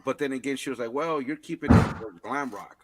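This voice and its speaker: A man's voice: medium pitch, quick pace, dry recording. A man in his forties co-hosting a casual podcast chat, rambling as he tells a story. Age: 40s. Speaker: podcast co-host.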